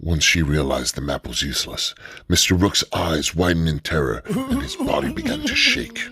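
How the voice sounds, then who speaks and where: A man narrating a radio drama in a steady, measured storytelling manner. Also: sits low, husky, no room sound, clean studio sound.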